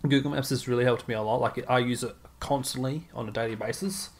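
A man's voice: mid-range voice, fairly even intonation, fast. Male co-host, mid-range voice, casual and agreeable, easygoing conversational delivery. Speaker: podcast co-host. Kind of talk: casual chat.

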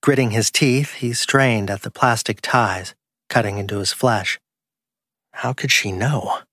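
A man's voice: medium pitch, unhurried, a bit bright, dry recording. Dry-sounding man narrating crime fiction. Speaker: audiobook narrator.

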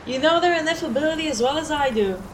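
A guarded woman with divine powers, acted by a woman speaks in a high voice in an audio drama. She is evasive and defensive, giving little away. Faint ambience runs behind her. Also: expressive, fast, slight background noise, low-quality recording.

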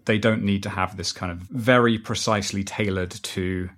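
A man's voice: sits low, fairly even intonation, normal speed, a bit bright. A man being interviewed as a podcast writer, talking conversationally and acting out little quoted reactions as he explains.

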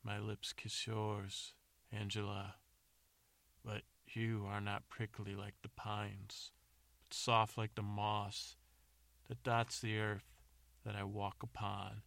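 A man voicing a hapless adventurer in a told story, earnestly reciting terrible love poetry in a halting, overwrought way. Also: on the low side, monotone, creaky, a bit bright, no echo, exaggerated voice. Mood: tender, overwrought.

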